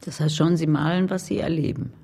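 Woman doing an interview, lowish mellow voice, short probing questions. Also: fairly even intonation, a little fast, vocal fry, breathy edge.

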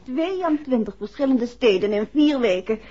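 An actress playing an affectionate, playful, well-off wife in a 1960s radio drama. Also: female voice, a little high, lively intonation, normal speed, warm tone. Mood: wry, relaxed. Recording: dull, faintly noisy old radio recording.

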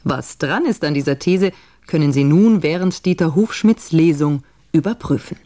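A woman presenting a radio reading, introducing it in a clear, composed way. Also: deep voice, animated, normal speed, warm tone.

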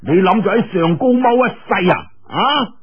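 A man with a Cantonese accent voicing a scheming, menacing older villain in a martial-arts audiobook, with drawn-out taunts. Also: sits high, expressive, husky, muffled recording, pitched above the performer's own voice, exaggerated voice. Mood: taunting.

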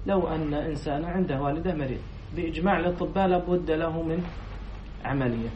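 Sheikh lecturing in an echoey hall. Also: male voice, on the high side, fast. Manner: quizzes students, then repeats their answers. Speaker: sheikh teaching a class. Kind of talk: lecture reading a text and explaining it. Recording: echoey room, distant dull mic, faint noise.

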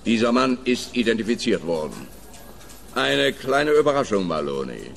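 An actor playing a pompous, blustering police officer in a radio play. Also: male voice, lowish voice, big pitch swings, normal speed, creaky, faint music behind.

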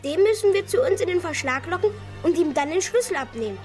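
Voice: extremely high pitch, animated, fast talker. An actor in a children's radio play voicing a well-bred, clever merchant's son who explains things carefully and eagerly. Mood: excited, scheming. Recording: studio radio play with music underneath.

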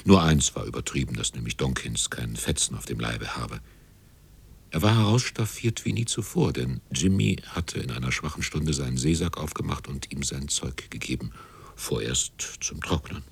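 A man narrating a literary radio drama as a measured storyteller. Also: a bass voice, vocal fry, breathy edge.

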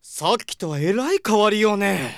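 A woman's voice: medium pitch, expressive, moderate pace, vocal fry, dry recording, studio quality. A woman playing a cool, teasing and frank companion in a comedic audio drama.

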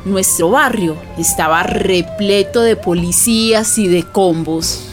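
Actress as colombian neighbour lady narrating the past, normal pitch, music under it, radio soap storytelling. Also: slow pace, lightly acted. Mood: reminiscing. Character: woman neighbour recalling her activist friend.

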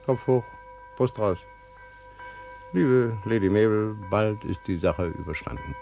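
A jovial upper-class lord who slaps backs and hands out congratulations. His voice is lively and chummy in pitch. Faint music lies under the radio play. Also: a little slow, creaky. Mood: hearty. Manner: hearty, chummy, officious. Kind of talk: radio drama acting.

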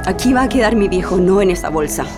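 Actress as a grieving Chilean daughter, music behind. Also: female voice, medium pitch, animated, fast talker, natural voice. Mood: tender, mournful. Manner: emotional, intimate storytelling. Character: grieving daughter of a disappeared man, giving testimony. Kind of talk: radio drama monologue and dramatised scene.

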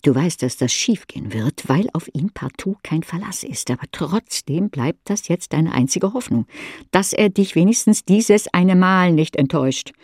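Female audiobook narrator, husky voice, dramatic literary read, very dry recording. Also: on the low side, animated, moderate pace, a little mellow, no echo. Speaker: audiobook reader. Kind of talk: scripted audiobook narration in second person.

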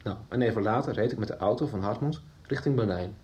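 An actor playing a shaken accomplice who reports a fatal crash in short, tense sentences. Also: male voice, lowish voice, quick pace, darkish tone, close to an everyday voice. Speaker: character in a radio soap. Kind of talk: 1990s radio drama acting.

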